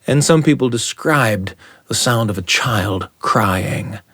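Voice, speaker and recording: A man telling a story as a radio host, with deliberate, suspenseful phrasing and dramatic repetition. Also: mid-range voice, expressive, a bit bright, no room sound, clean studio sound.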